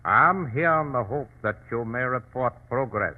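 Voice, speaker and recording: An actor playing a menacing but polite warlord from China, stiffly formal, in 1940s radio drama style. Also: male voice, mid-range voice, expressive, normal speed, natural voice. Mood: coldly polite. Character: menacing, polite Chinese male warlord and murderer. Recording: narrow, tinny old radio recording.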